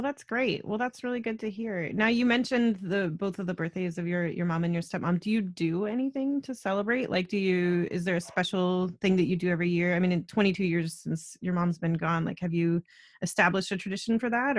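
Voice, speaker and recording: A woman's voice: lowish voice, a little fast, no room sound, studio quality. A woman hosting a casual, conversational podcast interview.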